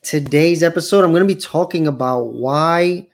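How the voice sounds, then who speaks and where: A man's voice: on the high side, normal speed, gravelly. Rambling man in his forties hosting. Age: 40s. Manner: rambling, self-correcting, many fillers. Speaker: show host. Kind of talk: casual livestream talk.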